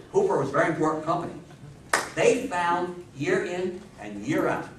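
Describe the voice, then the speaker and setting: High-pitched older man lecturing, roomy sound. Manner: emphatic storytelling, repeats phrases, asks the audience questions. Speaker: lecturer on old-time radio history. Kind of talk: animated public talk to an audience. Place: meeting room with seated older audience. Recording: roomy, distant camera mic with background noise.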